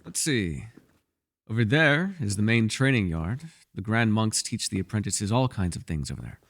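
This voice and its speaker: Man playing a low-voiced, musing, wry elf mage. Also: big pitch swings, normal speed, a bit bright, heavily acted. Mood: explanatory, wry. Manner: musing, wry, formal old-fashioned phrasing. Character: mysterious male elf mage, wry, patient mentor. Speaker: voice actor. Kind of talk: fantasy ASMR roleplay acting.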